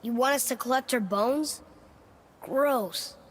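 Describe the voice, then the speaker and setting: High-voiced curious young boy. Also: slow pace, a bit bright, slight background noise, exaggerated voice. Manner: inquisitive, plain-spoken. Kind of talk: video game cutscene acting.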